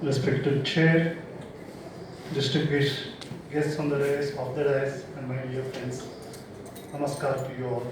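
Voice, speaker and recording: A man from Mangalore with an Indian accent and a native Konkani speaker, giving a measured, formal prepared speech as an invited speaker. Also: medium pitch, little pitch movement, heavy background noise. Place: large hall. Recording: echoey hall, speaker far from mic.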